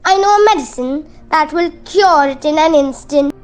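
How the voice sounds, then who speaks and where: A woman's voice: sits high, normal speed, close to an everyday voice. A woman playing a bold, fussy mother with a chatty, insistent manner in an amateur radio play. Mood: flustered, babbling. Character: Doris, outspoken, fussy, bold village mother.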